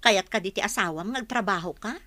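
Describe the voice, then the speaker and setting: A woman's voice: medium pitch, fairly quick, bright, forceful tone, natural voice. A woman with an Ilocano accent playing a practical, frank village friend who asks pointed questions in a radio drama.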